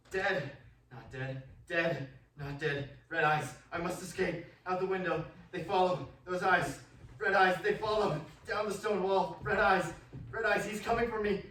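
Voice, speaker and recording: An actor playing a terrified young Englishman who recalls horrors in a halting, repetitive radio-drama delivery. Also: high voice, a bit bright. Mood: mounting panic. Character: terrified young Englishman recalling a vampire's castle under hypnosis. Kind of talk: stage-like radio drama acting. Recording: roomy, distant mic, faint noise.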